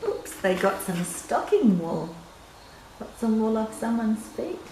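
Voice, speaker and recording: A woman's voice: fairly high pitch, very animated, slow pace, dark tone. An older woman reading a children's picture book aloud in short, simple phrases, with chatty asides to young listeners. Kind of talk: read-aloud story with casual asides. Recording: roomy echo, faint background noise.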